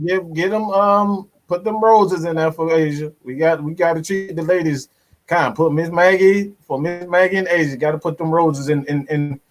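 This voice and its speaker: High-pitched hype-man sports host, boastful. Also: normal speed, low-quality recording. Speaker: sports talk show host. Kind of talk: casual live stream banter.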